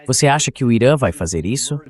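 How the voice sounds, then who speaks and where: A man's voice: medium pitch, animated, quick pace, darkish tone. A man's AI-generated voice dubbing an interview host, asking questions in a conversational way. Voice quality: synthetic AI voice.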